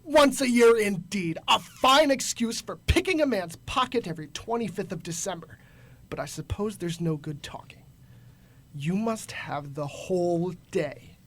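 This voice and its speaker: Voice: medium pitch, lively intonation, unhurried, a bit bright. An actor playing a grumpy, miserly old businessman in a radio drama, scornful, curt and barking. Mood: grudging.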